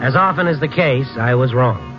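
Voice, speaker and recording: A man's voice: mid-range voice, animated, normal speed, music in the background, lightly acted. A man acting a world-weary, easygoing investigator who narrates his own tale in old-time radio drama, wry and colloquial. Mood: wry narration. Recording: muffled vintage radio recording.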